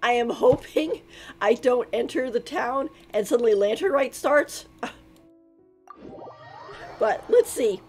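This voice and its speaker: A woman streamer giving casual, giggly commentary while playing, often poking fun at the characters. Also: fairly high pitch. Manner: giggly, mocks the characters' lines.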